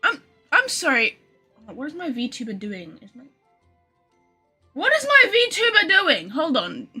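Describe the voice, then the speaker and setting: A woman game streamer giving casual, joking live commentary, sarcastic and quick to swear. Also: high-pitched, huge pitch swings, sharp tone, faint music behind.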